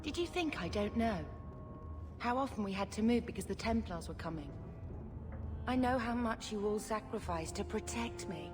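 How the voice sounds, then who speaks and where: An actress playing an earnest, wistful young mage in a video game, speaking sincerely and reflectively. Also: female voice, mid-range voice, expressive, normal speed, music in the background, lightly acted. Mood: resolute, wistful.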